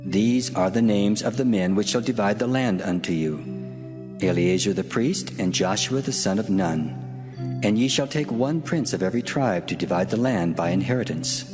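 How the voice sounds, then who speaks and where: An actor playing the Lord as a solemn, commanding divine voice, speaking slowly and gravely. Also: male voice, fairly low pitch, lively intonation, lightly acted. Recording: long echoing reverb over music.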